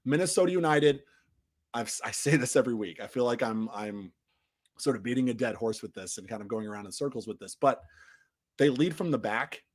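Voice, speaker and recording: A man hosting a casual soccer recap stream, talking in a rambling way with many restarts, while his voice recovers from being lost. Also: mid-range voice. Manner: rambling, frequent restarts and fillers, trails off quietly at phrase ends. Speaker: soccer podcast host.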